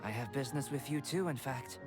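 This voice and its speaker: An actor voicing a composed young male alchemist who explains things in a measured, precise way. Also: medium pitch, lightly acted. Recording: game cutscene with music behind.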